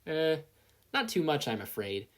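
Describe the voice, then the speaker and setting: An earnest teenage boy hero student from a story, put on by a reader, pitched well up from a normal voice. He sounds eager and a little sheepish, with a lively, nervous lilt. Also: unhurried.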